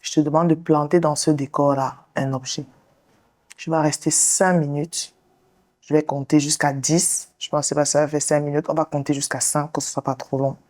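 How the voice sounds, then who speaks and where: A woman therapist hosting the show, leading a guest through a slow guided visualization and counseling talk in a soothing, directive way. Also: deep voice, level tone, a little mellow. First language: English. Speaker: sex therapist and show host.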